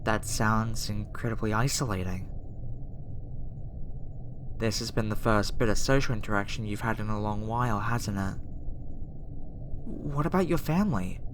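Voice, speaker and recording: A woman playing a gentle, conflicted young alien scientist in an ASMR roleplay, speaking softly and hesitantly straight to the listener. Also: a bass voice, moderate pace, long pauses, background noise. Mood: sympathetic, reluctant. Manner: soft, hesitant, talking directly to the listener.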